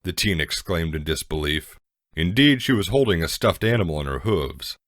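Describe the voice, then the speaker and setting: A man's voice: low voice, lively intonation, normal speed, a bit bright, dry recording, studio quality. A man narrating a scripted fan fiction story as a dramatic reading.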